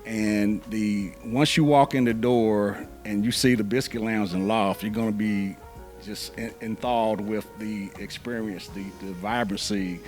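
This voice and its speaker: A middle-aged man from Louisville, Kentucky, speaking in a measured, conversational way as an interview guest. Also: fairly low pitch, fairly even intonation, darkish tone, faint music behind. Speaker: interview guest, event planner. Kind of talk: casual interview.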